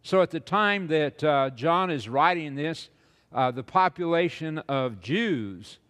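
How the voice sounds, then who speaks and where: An older man lecturing on stage as a preacher to an audience, slow and rambling, with many "uh"s and repeated words. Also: mid-range voice, a bit bright, long reverb tail. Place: large auditorium stage with audience.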